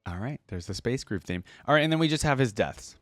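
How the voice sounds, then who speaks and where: A man's voice: medium pitch, lively intonation, quick pace, faint music behind. A man hosting a reaction video with casual, informal commentary, easily amused as he goes.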